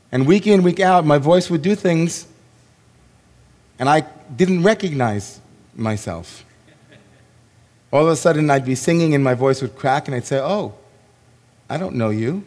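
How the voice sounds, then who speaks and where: A man, a rabbi, giving a sermon in a slow, reflective way with long pauses and a voice gone hoarse. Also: mid-range voice, darkish tone. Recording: long hall reverb.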